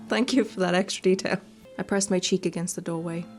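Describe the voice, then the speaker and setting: Female gaming host, slightly low voice, chatty and playful, informal let's-play delivery and read-alouds, light music underneath. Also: steady tone, brisk, darkish tone. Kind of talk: casual let's-play commentary and reading game text aloud. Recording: music playing behind the voice. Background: background music.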